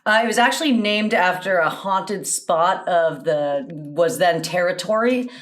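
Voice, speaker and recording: A woman's voice: on the low side, lively intonation, unhurried. A woman in her forties improvising a mock explanation as a comedy quiz panelist on a video call.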